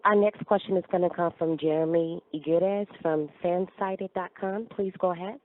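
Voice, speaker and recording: A woman's voice: on the low side, fairly even intonation, moderate pace. A woman giving formal, scripted announcements as a conference call operator over the telephone. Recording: dry, narrow phone line.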